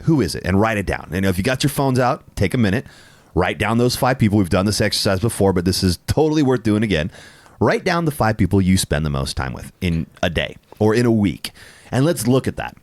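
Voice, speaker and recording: A middle-aged man hosts a casual podcast in a dry, close-miked sound. His voice sits fairly low but swings up and down a lot as he tells animated stories. He laughs often and swears freely. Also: a little fast, dry recording. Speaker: podcast host.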